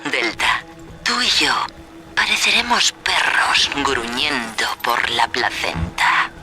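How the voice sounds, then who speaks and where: An actress playing a calm, cold visionary cult leader in a dubbed video game, measured and preaching. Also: female voice, very deep voice, exaggerated voice.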